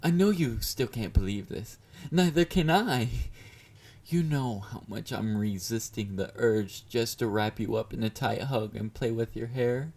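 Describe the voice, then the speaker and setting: A man's voice: mid-range voice, unhurried, a little mellow. A man playing a confident, obsessive, flirty yandere fan in an intimate audio roleplay, teasing and smug as he speaks to his beloved. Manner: teasing, smug, mock-considerate, murmured endearments.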